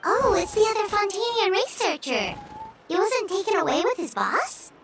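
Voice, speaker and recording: A woman voicing a small, chirpy fairy-like companion creature with a squeaky, childlike voice in a video game. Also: sing-song, moderate pace, distant mic, over music, full character voice. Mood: curious.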